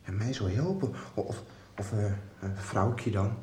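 A man's voice: fairly low pitch, a little slow, a little mellow, slight background noise, lightly acted. An actor in a radio soap playing an earnest, hesitant teenage boy who is eager and puzzled. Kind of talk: 1990s radio soap acting. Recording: echoey radio drama.

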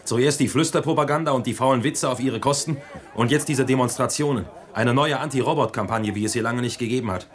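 An actor playing an anxious, loyal aide in a radio play, talking fast about trouble outside. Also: male voice, mid-range voice, close to an everyday voice. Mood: worried. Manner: anxious, talkative. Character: worried, loyal male aide. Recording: crowd murmur behind.

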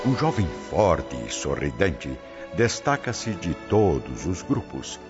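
A prominent musical score surrounds a slightly muffled recording. A man narrates dramatically in a deep voice, solemn and theatrical, with wide swings in pitch. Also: very animated, slow, loud music behind the voice. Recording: dull lo-fi recording. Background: loud musical score.

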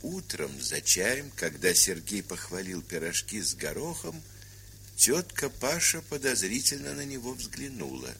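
Low, bright-voiced male narrator. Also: big pitch swings, measured pace, gravelly, a little hiss or hum. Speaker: narrator of an audio play. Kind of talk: scripted literary narration.

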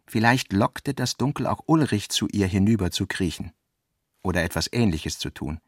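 A man reading scripted literary narration for a radio play in a measured, deliberate manner. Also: lowish voice, animated, no room sound, clean studio sound.

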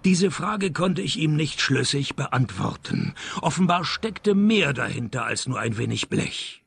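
Gravelly, deadpan private detective. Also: male voice, on the high side, unhurried, no room sound, full character voice. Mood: dry narration. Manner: dry, deadpan, sardonic. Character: wry, cynical male private detective, world-weary and unimpressed. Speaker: lead actor and first-person narrator. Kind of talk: radio crime comedy acting with narration.

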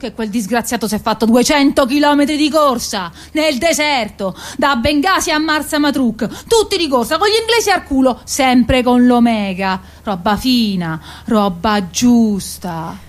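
A plaintive working-class woman, performed by an actress, with a fairly high voice. She is naive and earthy, given to lament, and moves between low muttering and wailing in a theatrical radio-drama style. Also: lively intonation, normal speed, hardly any pauses, natural voice. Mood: proud, insistent. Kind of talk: theatrical monologue acting for radio.

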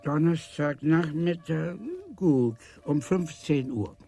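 A man playing an absent-minded, courteous old lawyer in a German radio drama, halting and repeating himself. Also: on the high side, vocal fry, dark tone, soft background music. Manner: halting, repetitive.

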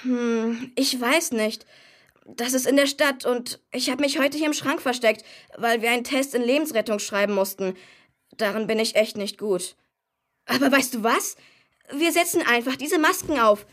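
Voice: medium pitch, normal speed, fairly bright tone, lightly acted. A young performer playing a chatty, cocky boy superhero who likes to explain things, in a children's radio play. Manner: breezy, eager, explanatory, casual.

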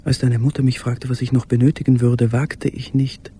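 Ein Schauspieler spielt in einem Hörspiel einen gequälten, sich erinnernden Mann im Versteck, gedämpft, stockend und intim gesprochen. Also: male voice, medium pitch, flat delivery, normal speed, mellow, natural voice. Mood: haunted, restrained. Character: haunted man in wartime hiding, remembering a beloved. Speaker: actor in a radio play. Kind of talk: literary radio play monologue. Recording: faint background noise.

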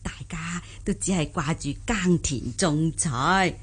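A woman's voice: sits low, slow pace, husky, heavily acted. A woman playing a jolly, garrulous old peasant granny in a Cantonese radio drama, folksy and full of exclamations, with a Hong Kong accent. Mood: modest, folksy. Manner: folksy, exclaiming, chuckling, self-deprecating.

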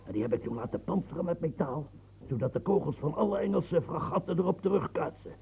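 An actor playing an aging fortress-building marshal in a radio play, declaiming grandly and stammering when moved. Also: male voice, on the high side, quick pace, poor audio. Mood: brooding, declaiming. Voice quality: gravelly, dark and husky. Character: aging royal fortress engineer, proud, brooding. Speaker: military engineer marshal. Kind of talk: radio drama acting.

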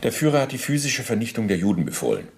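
Radio drama role: middle-aged Nazi functionary giving testimony, low creaky voice, officious, halting, self-justifying. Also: male voice, wildly swooping pitch, full character voice. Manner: formal, bureaucratic, halting with deliberate pauses. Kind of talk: German radio play, testimony monologue.

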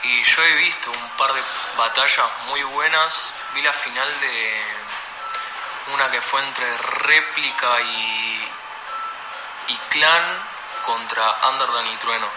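A man's voice: mid-range voice, slow, over loud music. A man with an Argentine accent being interviewed, chatting casually with lots of slang. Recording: echoey, noisy on-location interview.

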